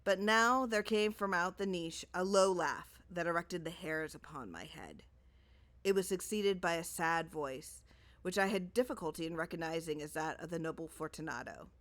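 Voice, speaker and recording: Low-pitched woman reading dramatically. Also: animated, moderate pace, a bit bright. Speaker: story reader. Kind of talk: dramatic scripted narration of a gothic horror tale.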